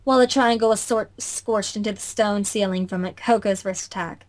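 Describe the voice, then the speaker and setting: A woman's voice: mid-range voice, fairly even intonation, normal speed. A woman reading visual novel narration as an amateur, in a theatrical storytelling way with occasional stumbles. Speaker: fandub narrator.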